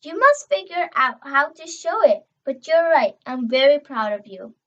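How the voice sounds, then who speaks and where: A young girl reading a children's novel aloud, expressive and emphatic on dialogue, stumbling over words now and then. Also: high voice, moderate pace, highs cut off. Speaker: reader.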